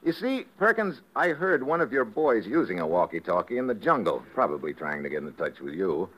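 A man's voice: on the low side, sing-song, brisk, a bit bright, rough recording. An actor playing a tough, wisecracking male secret agent in a 1950s radio drama, with a clipped, commanding manner. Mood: confident. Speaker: lead actor as a special agent.

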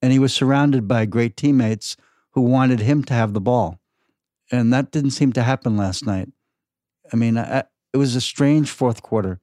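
An older man chatting casually about sports as a podcast guest, halting and repeating his words. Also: mid-range voice, warm tone, no room sound, studio quality.

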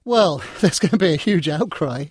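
A man's voice: on the high side, animated, moderate pace, darkish tone, husky, dry recording, studio quality. A man talking as an interview guest in a conversational, discursive way, often repeating and reworking his words. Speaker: interview guest, technology writer and editor.

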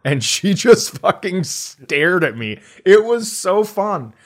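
A man's voice: high-pitched, very animated, slow pace, a bit bright. A middle-aged American man hosting a comedy podcast, telling casual, profane stories and acting out the dialogue. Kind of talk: casual comic storytelling.

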